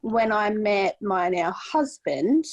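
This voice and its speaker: A woman's voice: medium pitch, lively intonation, slow, muffled recording. A woman in her late twenties co-hosting a casual chat, speaking openly and candidly with many fillers. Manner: open, candid, rambling with fillers. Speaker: podcast co-host. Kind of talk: casual video-call chat.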